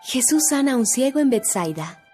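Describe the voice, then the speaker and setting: A woman's voice: high-pitched, big pitch swings, moderate pace, a little mellow. A woman reading section titles in a scripted announcing style. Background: clear music.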